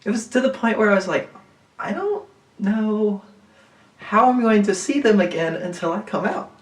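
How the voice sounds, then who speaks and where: A woman's voice: medium pitch, lively intonation, normal speed. A woman of twenty-three co-hosting a podcast, joking wryly and swearing casually between short interjections. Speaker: podcast co-host. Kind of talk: casual chat. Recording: roomy sound, mic at a distance.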